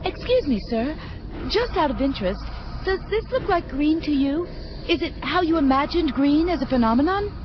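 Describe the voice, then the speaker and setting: High-voiced, eager angel assistant. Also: female voice, expressive, normal speed. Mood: politely curious. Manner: polite, earnest, formal. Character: eager, deferential female junior angel assistant. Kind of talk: audio drama acting. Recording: dull sound, faint background noise.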